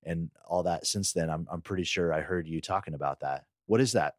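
A man's voice: deep voice, brisk, no room sound, studio quality. A man co-hosting a podcast, talking in a casual, conversational way. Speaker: podcast co-host.

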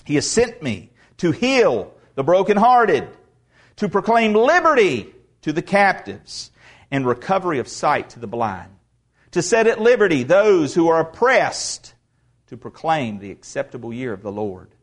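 Man, pastor, fairly high-pitched, casual sermon delivery with restarts, swells to loud proclamation. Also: big pitch swings. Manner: conversational preaching with asides and restarts, rising to loud proclamation; reads scripture aloud slowly. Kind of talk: expository sermon.

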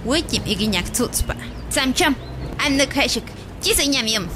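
A woman's voice: high-pitched, expressive, unhurried. A woman, a native Popoluca speaker, playing a village woman in radio drama dialogue. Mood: conversational. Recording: noisy outdoor vehicle ambience.

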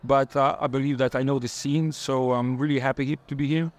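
A man's voice: mid-range voice, fairly even intonation, fairly quick. A man from Lithuania, a panel guest, rambling and searching for words as he talks about himself. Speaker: panel guest, event organizer and DJ. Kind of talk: casual self-introduction.

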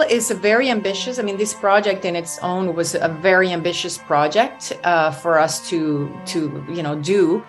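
A woman from Venezuela talks earnestly in a radio interview, often restarting and leaning on "you know". Her voice sits at an ordinary middle pitch. Soft music plays faintly behind her. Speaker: singer and bandleader.

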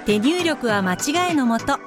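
A woman's voice: high voice, sing-song, measured pace, music in the background. A woman playing a formal, instructive narrator, delivering scripted explanations in a radio drama. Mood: formal, explanatory. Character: crisp female case-file narrator explaining office software.

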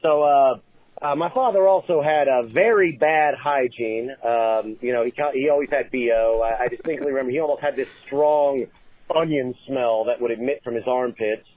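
A man calling in to leave a rambling phone message, halting with many fillers and restarts. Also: mid-range voice, no echo. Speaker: caller. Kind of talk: recorded voicemail story. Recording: tinny phone line.

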